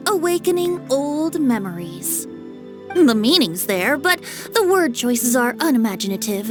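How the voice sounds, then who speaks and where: Boyish game bard, high-pitched, swooping intonation, playful, theatrical, teasing, light background music. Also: female voice. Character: playful, whimsical young male bard. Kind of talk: video game dub dialogue.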